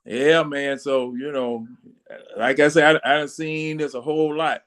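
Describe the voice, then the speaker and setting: A man's voice: on the high side, fairly even intonation, measured pace, a bit bright. Older man in his sixties, folksy talker. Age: 60s. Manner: rambling, folksy storytelling. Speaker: sports talk panelist. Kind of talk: casual football fan chat.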